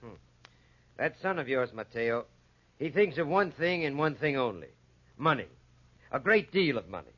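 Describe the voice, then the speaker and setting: An actor in a 1940s radio drama playing a dry, knowing country sheriff with a measured, pointed manner. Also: male voice, mid-range voice, sing-song, a bit bright. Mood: dryly genial. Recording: muffled 1940s radio recording.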